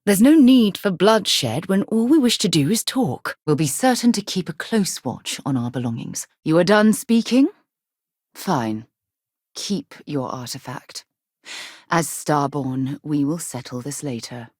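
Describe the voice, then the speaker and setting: A woman's voice: deep voice, lively intonation, unhurried, a little mellow, slightly rough, no echo, clean studio sound, heavily acted. A woman playing an earnest, principled explorer and companion in a space video game, articulate with a wry streak. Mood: concerned, then cold, grave.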